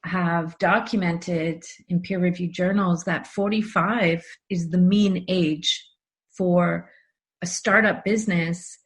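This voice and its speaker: Woman podcast host, low-ish voice. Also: steady tone, slow pace. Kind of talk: conversational interview.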